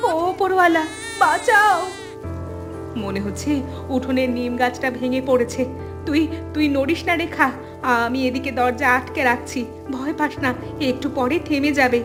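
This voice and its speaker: A woman's voice: sits high, normal speed, long pauses, music in the background, natural voice. A woman playing a protective elder sister-in-law in a cartoon melodrama, firm and reassuring. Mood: alarmed, urgent.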